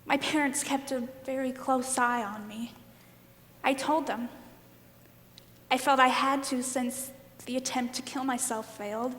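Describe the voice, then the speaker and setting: A woman's voice: sits high, fairly even intonation, a little slow, fairly bright tone. A woman on stage playing a wounded, defiant juror who survived bullying, speaking with pleading emphasis in a play monologue. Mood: wounded, indignant. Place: live stage performance in a hall. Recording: echoey hall, long reverb.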